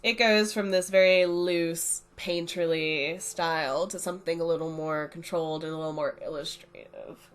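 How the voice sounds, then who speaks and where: A woman's voice: on the low side, normal speed, hardly any pauses, smooth. A young woman, congested from a cold, chatting casually as she talks through her art supplies. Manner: chatty, relaxed, explaining as she goes. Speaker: art channel host. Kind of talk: casual art review voice-over.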